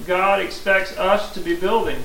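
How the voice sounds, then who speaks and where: A man's voice: high voice, little pitch movement, normal speed, gravelly, fairly bright tone. A man, preaching a sermon in a plain-spoken, earnest way and explaining scripture step by step. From Missouri, USA. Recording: echoey room from a distance, faint hiss.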